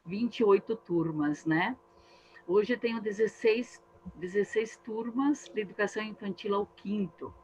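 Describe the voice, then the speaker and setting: A woman's voice: mid-range voice, a little slow, a little mellow, husky. A woman teacher from southern Brazil with an Italian-influenced accent, a native speaker of Italian dialect, speaking earnestly at an online meeting. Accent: Italian-influenced Brazilian Portuguese. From Antônio Prado, southern Brazil. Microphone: video call. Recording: dull lo-fi video call audio.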